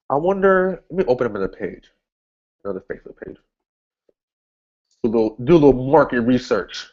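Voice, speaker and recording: A man's voice: medium pitch, a little mellow, dull audio. A man casually walking through an online ad setup, thinking aloud as he clicks, with frequent restarts and upbeat asides. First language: English. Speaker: online marketing instructor. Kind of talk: casual screen-share tutorial.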